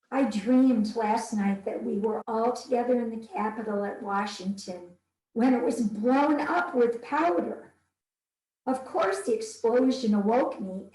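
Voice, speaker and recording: A woman reading as a 19th-century wife writing tender, anxious wartime letters, in a formal, earnest and measured way. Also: fairly high pitch, dark tone, natural voice. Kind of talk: dramatic reading of historical letters. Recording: echoey, roomy sound.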